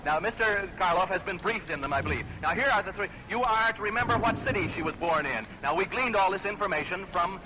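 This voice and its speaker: A high-voiced American man works as host of a live 1940s radio game show. He keeps up chatty showman's patter and leans on little catchphrases. The sound is an old broadcast with clear noise over it. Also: lively intonation, fast talker, few pauses. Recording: noisy vintage 1940s radio broadcast recording.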